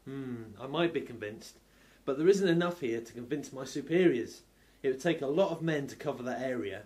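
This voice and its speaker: A man playing a sceptical, polite police inspector in a steampunk radio play, with a measured manner. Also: mid-range voice, animated, a little mellow, close to an everyday voice. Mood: considering.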